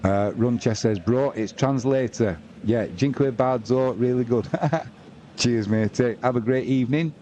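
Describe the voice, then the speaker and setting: A man's voice: mid-range voice, steady tone, measured pace, warm tone, highs cut off, slight background noise. A man doing informal, matey live rugby league commentary on a stream, mixing play-by-play with chatty asides to viewers. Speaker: sports livestream commentator and host.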